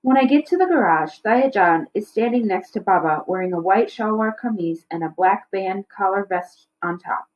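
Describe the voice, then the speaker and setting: A woman's voice: mid-range voice, lively intonation, moderate pace, hardly any pauses, darkish tone, rough recording. A woman reading a children's novel aloud as a teacher would, in storybook narration.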